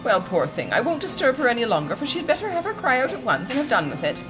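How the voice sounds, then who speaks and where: An older gentlewoman from a classic storybook, played by a woman reader, chatters on with great feeling. Her pitch leaps and swoops as she exclaims, kindly and gossipy, quick to take offence on behalf of others. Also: huge pitch swings, over music, rough recording. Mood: indignant, consoling. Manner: exclamatory, chattering, dramatically emphatic. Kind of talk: audiobook character dialogue from a classic novel.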